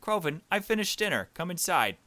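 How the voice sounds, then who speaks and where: A man voicing a gentle, mildly exasperated young woman from a visual novel. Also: high voice, big pitch swings, fairly quick, no room sound, pitched above the performer's own voice, heavily acted. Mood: coaxing. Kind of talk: visual novel character reading.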